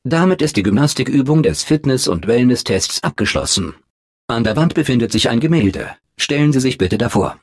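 An actor voicing a polite, unflappable automated announcer in a video game, with formal, measured, deadpan official delivery and a blandly upbeat temper. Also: male voice, mid-range voice, no room sound, clean studio sound. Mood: blandly reassuring. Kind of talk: scripted game announcements, German dub.

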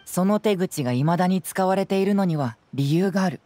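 A woman voicing a clever, composed young male detective in a game, with polished, measured, theatrical delivery. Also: on the low side, warm tone, natural voice. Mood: calm, consoling. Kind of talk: Japanese game voice acting.

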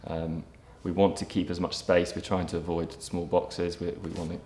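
A man in his thirties, a property developer giving a documentary interview, speaking in a measured way with frequent ums and restarts. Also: sits low, flat delivery, warm tone. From England. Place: empty brick factory room, indoors, large. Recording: echoey empty room, faint ambience.

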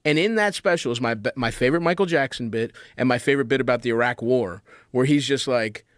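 A man in his thirties, a comedian guest on a podcast, talking in casual, animated chat, swearing often and gushing with enthusiasm. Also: medium pitch, quick pace, no echo, studio quality. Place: studio with red curtain. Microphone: studio mic, wearing headphones.

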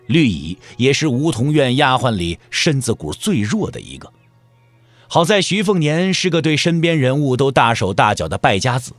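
A man's voice: fairly high pitch, no room sound. A man narrating a martial-arts audio drama as a scripted storyteller.

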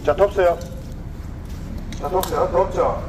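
A man calling a fish auction in a loud sing-song chant, repeating prices and counts. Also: high-pitched, moderate pace. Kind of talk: rapid repetitive auction calling. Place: covered port fish auction floor. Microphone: phone camera from a distance. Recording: echoey hall from far off, loud harbor noise.

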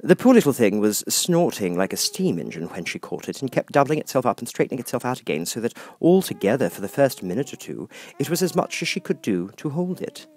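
A man reading a children's storybook as an audiobook narrator, in expressive scripted narration. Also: mid-range voice, a little fast, few pauses, dry recording.

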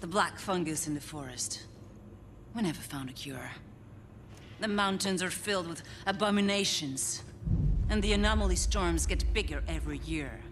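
An actress playing a grim, embittered middle-aged officer in a video game, speaking in a stern, terse, dramatic way that often drops to an intense hush. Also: female voice, fairly low pitch, normal speed, slight background noise, close to an everyday voice. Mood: grim, desperate. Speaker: military commander. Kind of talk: cinematic video game acting.

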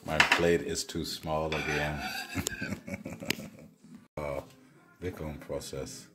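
A man's voice: a bass voice, little pitch movement, very slow. A man chatting and joking in his home kitchen while cooking, filmed on a phone, laughing often. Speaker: home video blogger. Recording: phone video with kitchen noise.

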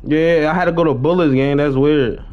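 A man's voice: a little high, steady tone, moderate pace. Slangy young American man, dull lo-fi mic. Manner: slangy, muttering to himself. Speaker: live streamer. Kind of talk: casual gaming livestream chat.

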